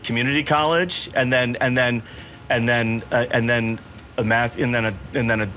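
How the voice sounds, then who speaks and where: A middle-aged man with an American accent chatting casually as he plays a video game, halting and repeating himself as he thinks. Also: mid-range voice, steady tone, bright, forceful tone, a little hiss or hum. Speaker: host playing a video game with his son. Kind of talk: casual gameplay chat. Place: home gaming room, indoors.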